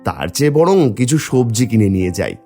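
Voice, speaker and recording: A man's voice: mid-range voice, sing-song, fairly quick, mellow, soft background music. A man voicing a poor, wistful village taro seller and put-upon husband in a cartoon story, plaintive and pleading. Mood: hopeful. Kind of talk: Bengali cartoon story voice acting.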